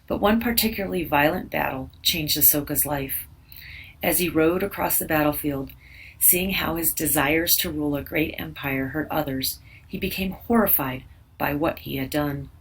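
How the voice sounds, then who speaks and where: A woman's voice: low voice, moderate pace. A woman narrating a scripted educational read-aloud for children. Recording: distant mic with background noise.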